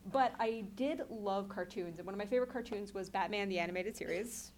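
A young woman gives a lively, chatty talk about comics at a middle pitch. She turns to the audience often and speaks to them directly. A faint noise sits under her voice. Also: brisk. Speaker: comics creator.

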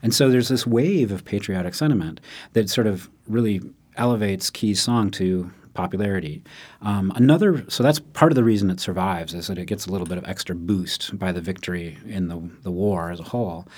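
Low-voiced man explaining with lively asides. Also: fairly quick, slightly rough. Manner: animated, acts out quotes in raised voice, frequent "right?". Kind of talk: conversational explanation.

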